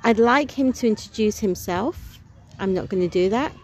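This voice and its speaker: A woman's voice: medium pitch, moderate pace, a little mellow. Chatty woman hosting a podcast. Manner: chatty, enthusiastic. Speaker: podcast host. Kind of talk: casual podcast chat.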